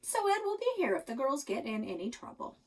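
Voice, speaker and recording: A woman voicing an earnest, easygoing, soft-hearted father who is easily swayed, in a storybook. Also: high voice, sing-song, a little fast, pitched above the performer's own voice, full character voice. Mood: hopeful.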